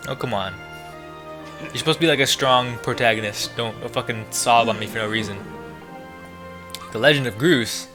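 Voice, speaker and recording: A man's voice: medium pitch, fairly even intonation, brisk, music in the background. A man doing casual, sweary gameplay commentary as a let's player.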